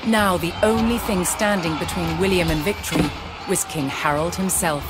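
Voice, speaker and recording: A narrator reading a scripted historical account in a measured, dramatic storytelling manner. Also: mid-range voice. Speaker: documentary narrator. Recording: loud music behind the voice. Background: loud background music.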